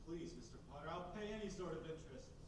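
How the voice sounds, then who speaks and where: A frantic father at the end of his rope, as a man acts him out in a live radio play, lurching from gentle pleading to angry shouting. His voice is high for a man and swoops widely. Heard from far off on a very echoey stage with noise behind it. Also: animated, quick pace, natural voice. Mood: agitated. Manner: shifts from tender to shouting, pleading. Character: desperate, hot-tempered family man, a father. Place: theater stage.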